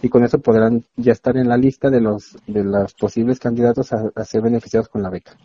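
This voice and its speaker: A man's voice: mid-range voice, steady tone, a little fast, dark tone, dry recording. A man, a university expert guest earnestly explaining things at length in an interview. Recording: dull lo-fi dry sound.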